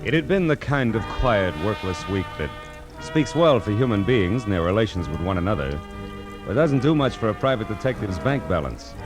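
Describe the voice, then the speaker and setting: An American actor playing a world-weary, sardonic private detective in a 1940s radio drama, deadpan and clipped. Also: male voice, fairly low pitch, moderate pace. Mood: wry, reflective. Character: wry, world-weary male private detective, blunt and cynical. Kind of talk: 1940s radio acting, first-person noir narration. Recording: vintage 1940s radio, music behind.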